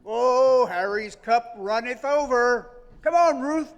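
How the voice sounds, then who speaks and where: An older actor in a live stage radio play, playing a cheerful, folksy uncle. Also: male voice, very high-pitched, slow pace, in a large hall, full character voice. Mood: jovial. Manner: folksy and jovial. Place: theater stage. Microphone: vintage stand microphone.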